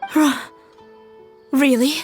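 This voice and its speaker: A slow, slightly high-voiced young woman weighed down by sorrow. She is polite and earnest, with halting phrases. It is video game acting over faint music. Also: fairly even intonation, darkish tone. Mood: apologetic.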